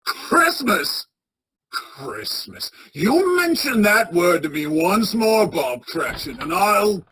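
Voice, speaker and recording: A woman playing a bitter, miserly old male boss in a radio play, with a raspy, scornful, grumbling delivery. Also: mid-range voice, big pitch swings, slow pace, fairly bright tone, slight background noise. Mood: angry, barking. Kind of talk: theatrical radio play acting.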